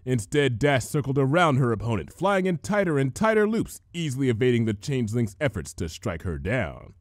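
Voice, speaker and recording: A man reading a comic adventure story aloud as an expressive scripted narration. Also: medium pitch, a little fast, dry recording, clean studio sound. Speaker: fan fiction reader, narrator.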